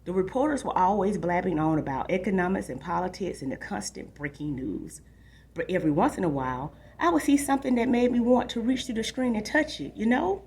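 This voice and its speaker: A woman's voice: mid-range voice, a little fast, darkish tone, breathy edge. A woman reading a storybook aloud as a restless, imaginative young boy longing for adventure, in a dramatic, confiding way. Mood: dreamy, wistful. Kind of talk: expressive storybook read-aloud.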